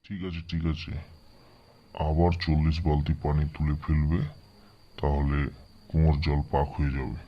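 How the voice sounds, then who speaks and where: A man's voice: a bass voice, little pitch movement, normal speed, long pauses. A man voicing an authoritative village imam in an amateur cartoon, giving instructive pronouncements. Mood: assured. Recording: dull lo-fi sound.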